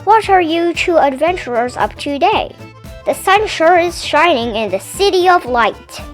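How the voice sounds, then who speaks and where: Woman playing a friendly, high-voiced baker man. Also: expressive, normal speed, faint music behind. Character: friendly male Parisian baker greeting a child.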